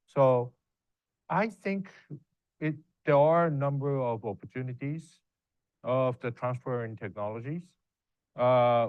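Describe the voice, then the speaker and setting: A man's voice: medium pitch, clean tone, dry recording, muffled recording. Hesitant man on a panel. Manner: hesitant, many fillers, halting phrasing. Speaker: panelist, university professor. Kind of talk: answering audience questions on a panel.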